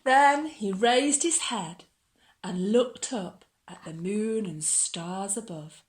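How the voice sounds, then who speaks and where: A woman reading a children's story aloud like a teacher, with expressive, dramatic storytelling. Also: mid-range voice, takes it slowly.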